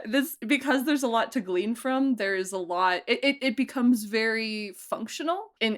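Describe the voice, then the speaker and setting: A woman giving a casual interview as an audio drama director, talking in an animated, chatty way that wanders into asides. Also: mid-range voice. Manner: animated, chatty, digressive storytelling.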